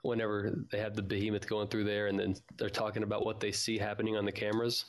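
A man's voice: fairly low pitch, completely flat delivery, quick pace. A man hosting a fan podcast in a casual, informal and chatty way.

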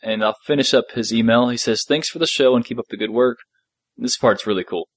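A man's voice: mid-range voice, a little fast, no room sound. A middle-aged man chatting casually as a podcast co-host, laid-back and appreciative, sometimes reading out listener messages.

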